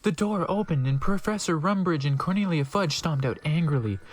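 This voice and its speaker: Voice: mid-range voice, lively intonation, moderate pace, a little hiss or hum. A narrator giving a dramatic, comedic reading of a story with asides. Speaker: narrator, reader.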